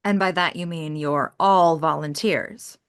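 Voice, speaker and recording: A woman's voice: sits low, measured pace, clear, resonant tone. A woman playing a composed investigator with a dry, understated way of talking.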